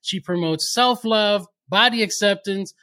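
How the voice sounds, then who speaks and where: A man's voice: high-pitched, a little slow, sharp tone. A man hosting a virtual interview, upbeat and casual, on a video call. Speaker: host.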